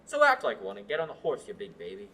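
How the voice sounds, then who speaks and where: A teen girl playing a gruff, bossy knight. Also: a bass voice, huge pitch swings, fast, background noise, heavily acted. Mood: curt. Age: teens. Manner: curt and bossy. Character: brusque, impatient questing knight. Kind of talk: student radio play.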